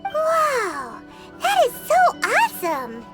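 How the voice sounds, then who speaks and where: Tiny childlike fairy companion, very high squeaky voice, lively swooping pitch, naive, excitable, video game. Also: female voice, takes it slowly, full character voice. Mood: amazed. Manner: exclaiming, wide-eyed, blunt. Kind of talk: anime-style video game voice acting. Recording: loud orchestral game music behind. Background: game background music.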